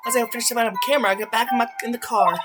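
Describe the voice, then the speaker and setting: A woman's voice: a little high, steady tone, very fast, close to an everyday voice. A woman voicing a brash, defensive, folksy female witness with a colloquial manner in an amateur game fandub. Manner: indignant, colloquial. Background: loud game music.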